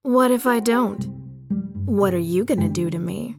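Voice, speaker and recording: A woman's voice: medium pitch, a little slow, vocal fry, music in the background. A woman playing a brash, condescending female bounty hunter in an audio drama, confident, smug and mocking.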